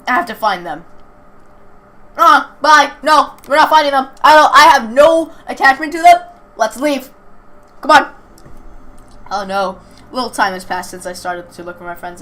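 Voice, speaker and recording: A woman's voice: medium pitch, fairly bright tone, a little hiss or hum. A woman hosting a let's play, reading a visual novel aloud with casual asides and stumbling over some words as she goes. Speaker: video host playing a visual novel.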